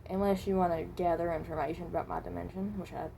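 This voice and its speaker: A hesitant, mild-mannered traveler from another dimension, played by a woman, speaks in a dark, mellow and fairly low voice. The delivery is halting, with trailed-off phrases and rephrasing. It is an amateur recording with slight background noise. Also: fairly even intonation, vocal fry, poor audio. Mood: hesitant, reassuring. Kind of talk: improvised in-character voice lines.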